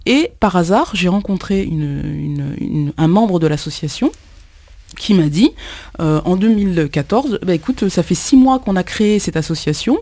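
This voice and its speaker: A woman's voice: on the low side, animated, moderate pace, dark tone, dry recording. Hesitant woman radio guest, dry studio. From Congo, Kinshasa. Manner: hesitant, many fillers and repeated words. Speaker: musician and association member, radio guest. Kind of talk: radio interview, hesitant conversational speech.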